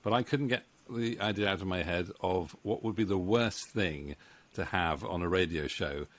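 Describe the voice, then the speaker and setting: Hesitant, low-voiced man. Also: moderate pace, fairly bright tone. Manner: hesitant, rambling, chuckling. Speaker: interview host and co-writer. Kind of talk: casual video-call interview. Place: book-lined home room. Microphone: studio microphone on boom arm, close.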